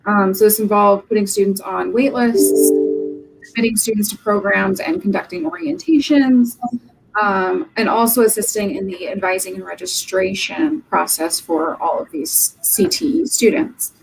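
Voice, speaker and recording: A woman's voice: mid-range voice, lively intonation, moderate pace, vocal fry, music in the background, low-quality recording. A young woman from Oregon, an admissions counselor, answering interview questions earnestly from her office over a video call, with frequent um's. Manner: earnest, fillers of um between points.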